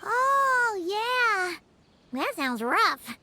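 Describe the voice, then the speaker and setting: Excitable little fairy game companion: piercingly high, bright, childlike, blunt and chatty. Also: female voice, drawn-out words, a little hiss or hum, exaggerated voice. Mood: sympathetic. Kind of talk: video game voice acting.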